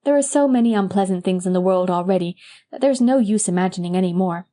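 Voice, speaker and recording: A woman voicing a gentle, imaginative young schoolteacher who speaks softly. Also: medium pitch, quick pace, natural voice. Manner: soft, sorrowful.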